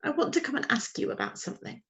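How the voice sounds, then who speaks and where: A woman voicing an eager, hungry little owlet boy who is afraid of the dark, with a high piping voice and an earnest, plaintive manner. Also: fast talker, natural voice.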